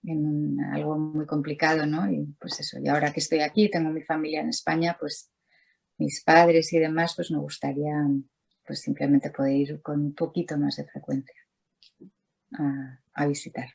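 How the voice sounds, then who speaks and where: A woman's voice: low-pitched, level tone, moderate pace, vocal fry, a little mellow, breathy edge. A middle-aged Spanish-speaking woman, an artist talking casually over a video call, rambling with many restarts and fillers. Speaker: artist, interview guest.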